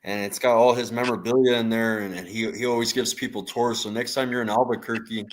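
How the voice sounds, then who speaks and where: A man hosting, chatting in a relaxed way with plenty of fillers. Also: medium pitch, fast, low-quality recording. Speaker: host. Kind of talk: casual group chat.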